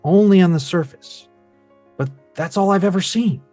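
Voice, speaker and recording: Man playing an earnest, frustrated son, higher-pitched. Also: normal speed, mellow, no room sound, soft background music, natural voice. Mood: pleading, earnest. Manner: earnest, pressing, emphatic. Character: frustrated, earnest grown son confronting his father. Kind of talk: table read of a film script.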